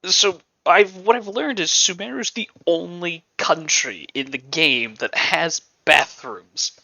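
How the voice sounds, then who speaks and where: A man's voice: fairly high pitch, takes it slowly, vocal fry, sharp tone, no echo, dull audio. A man livestreaming casual game commentary in a sarcastic, joking manner.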